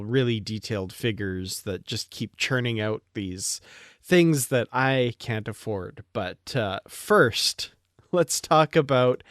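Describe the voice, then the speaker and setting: A man's voice: mid-range voice, no echo. Enthusiastic man hosting a casual podcast, dry sound. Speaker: podcast host.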